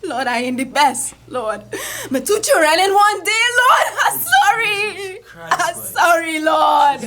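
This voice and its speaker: A woman's voice: very high voice, sing-song, slow pace, bright, forceful tone, full character voice. A woman playing a grieving mother with a Trinidadian accent who has lost two children, wailing and praying through sobs. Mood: anguished. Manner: wailing prayer, sobbing. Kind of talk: radio drama acting.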